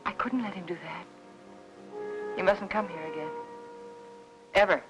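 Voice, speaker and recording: An actress playing an anxious, distraught housewife in a mid-century film noir, speaking in halting, urgent phrases. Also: female voice, medium pitch, muffled recording, over music, close to an everyday voice. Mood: haunted, brooding. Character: anxious, distraught housewife hiding her husband's death.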